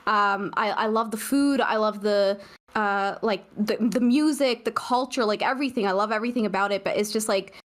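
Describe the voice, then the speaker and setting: A young woman from Canada chats as a podcast guest in a mid-pitched, everyday voice. She rambles, trailing through fillers and restarting sentences as she goes. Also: normal speed. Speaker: guest streamer. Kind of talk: casual podcast chat.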